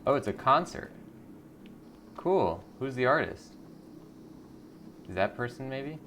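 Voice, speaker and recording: A man's voice: mid-range voice, lively intonation, slow, fairly bright tone, a little hiss or hum. A man hosting a gaming video, giving casual commentary and reading the game's text aloud. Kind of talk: casual game commentary with read-aloud narration.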